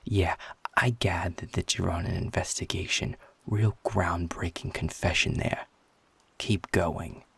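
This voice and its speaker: A man playing a guarded, sarcastic, defiant werewolf in an intimate audio roleplay, talking bluntly with a husky, gravelly voice. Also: low voice, steady tone, a little slow, no room sound, in a whisper, full character voice. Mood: irritated, defiant. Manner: sardonic, blunt, swearing, confrontational.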